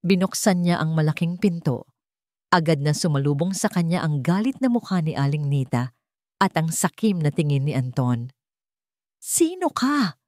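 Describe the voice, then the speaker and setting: A woman reads a dramatic romance story as its narrator. Her voice sits on the low side for a woman, and the recording is dry and close. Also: moderate pace, a little mellow, dry recording.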